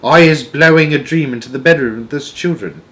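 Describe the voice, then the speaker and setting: A man voicing a gentle, wistful storybook giant with muddled, ungrammatical folksy speech. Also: a little high, fairly quick, pitched above the performer's own voice, lightly acted. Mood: earnest. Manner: ungrammatical, jumbled made-up words.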